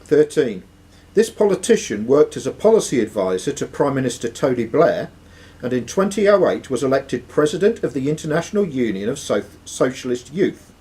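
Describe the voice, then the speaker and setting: A man's voice: mid-range voice, big pitch swings, normal speed, dark tone, husky. A man hosting a live quiz in a club room, reading the questions aloud and ruling on answers. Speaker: quiz host and question reader. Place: sports and social club room.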